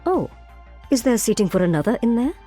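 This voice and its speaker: A woman's voice: a little high, big pitch swings, brisk, dark tone, soft background music. A woman playing a haughty, disdainful woman who teases, in a video game. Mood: curious. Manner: disdainful, teasing. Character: haughty, teasing woman sizing up a rodent.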